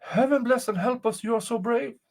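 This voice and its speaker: Man voicing a fretful, high-pitched old woman. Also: lively intonation, moderate pace, darkish tone, husky, heavily acted. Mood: alarmed. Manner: anxious, pleading warnings. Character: frightened, fretful old woman, the boy's mother. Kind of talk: folktale storytelling.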